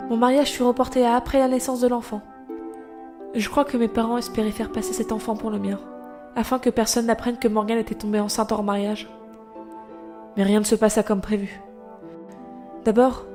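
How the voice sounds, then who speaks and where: An actress playing a devoted young elder sister in an audio drama, telling of her past in a measured, earnest, literary way. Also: a little high, darkish tone, close to an everyday voice. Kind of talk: audio drama acting and storytelling.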